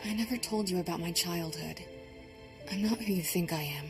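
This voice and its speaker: A woman voicing a gentle, earnest young Jedi apprentice in a video game. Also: lowish voice, normal speed, lightly acted. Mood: confessing, uneasy. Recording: music playing behind.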